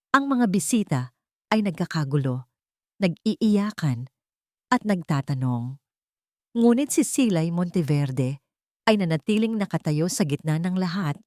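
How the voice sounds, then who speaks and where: A woman narrating a scripted story in a deliberate, suspenseful, dramatic way. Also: fairly low pitch, mellow, no echo, clean studio sound.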